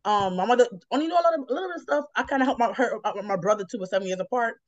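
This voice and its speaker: A woman's voice: a little high, racing, no echo. A woman chatting reflectively as host, explaining things in a rambling, encouraging way. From New York, United States. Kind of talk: casual reflective conversation. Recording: slightly dull, lo-fi, dry sound.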